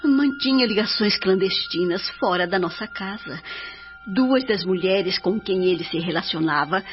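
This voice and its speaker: A Brazilian woman acting a serene, devoted spirit mother in a radio drama, speaking in a solemn, measured way. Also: mid-range voice, expressive, lightly acted. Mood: sorrowful, grave. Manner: solemn, measured, edifying delivery. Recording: dull lo-fi audio under music.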